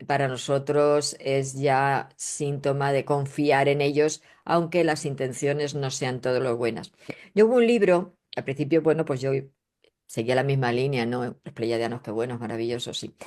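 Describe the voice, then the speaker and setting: A woman with a notably low voice and a Castilian accent from Spain explains things conversationally, as a guest in an interview. She is emphatic and didactic, punctuating her points with short rhetorical questions. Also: a little mellow. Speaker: guest, astrologer and spiritual researcher.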